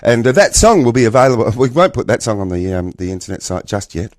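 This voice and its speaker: A man's voice: on the low side, sing-song, fast talker, no echo. Jovial male radio host, dry studio sound. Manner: effusive, jovial. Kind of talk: casual radio interview chat.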